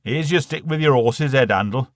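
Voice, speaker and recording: A man's voice: mid-range voice, normal speed, dry recording, clean studio sound, close to an everyday voice. Man acting a blunt, droll Northern English father. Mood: firm, gruff.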